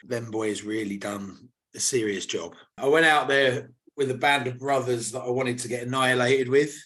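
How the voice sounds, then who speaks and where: A man with a slightly hoarse voice, chatting on a podcast in a loose, laddish way. His voice sits at an everyday middle pitch as he tells rowdy stories with plenty of energy. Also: normal speed. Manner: animated, laddish banter. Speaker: podcast co-host. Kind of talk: casual chat.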